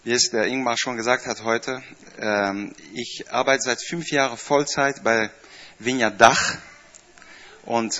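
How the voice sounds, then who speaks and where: A man's voice: lowish voice, fairly bright tone, lo-fi sound. A man of fifty from the Netherlands, raised in France, with a Dutch and French accent in German, greeting a church congregation in a chatty, hesitant way with many fillers. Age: 50s. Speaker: church staff member giving a greeting. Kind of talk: informal speech to a congregation.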